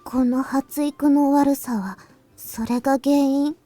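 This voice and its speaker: A woman playing a quiet, stubborn schoolgirl genius in an anime visual novel, terse and hesitant in delivery. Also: high-pitched, fairly even intonation, moderate pace, no echo, close to an everyday voice. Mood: quiet, matter-of-fact. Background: game music.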